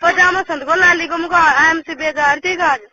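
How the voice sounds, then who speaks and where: A woman's voice: very high-pitched, steady tone, moderate pace, crowd noise. A woman with a Rajasthani accent, chatting casually and privately as a caller on the phone. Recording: dull lo-fi phone call, background noise.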